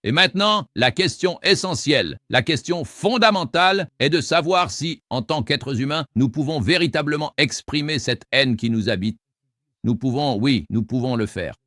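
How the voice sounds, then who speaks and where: A man hosting a call-in debate, forceful and argumentative, who often cuts in on his callers. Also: medium pitch, no room sound, studio quality. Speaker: host.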